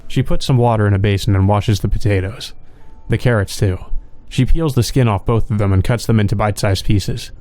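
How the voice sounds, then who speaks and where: A man reading visual novel narration aloud for a let's play, in a plain, measured storytelling manner. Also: fairly low pitch, darkish tone.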